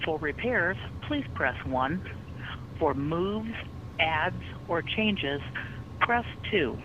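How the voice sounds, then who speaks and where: A man's recorded voice reading an automated phone menu in an even, formal tone over a muffled, noisy line. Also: a little high, drawn-out words.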